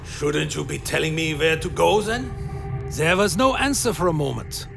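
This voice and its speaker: A man playing a grand inquisitor in a dreamy, defiant blood trance, narrating a dark fantasy radio play in slow, deliberate, literary prose. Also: a little high, creaky. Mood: playful, defiant, then grim, intense. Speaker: narrator and lead character. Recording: dramatic music behind. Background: loud music bed.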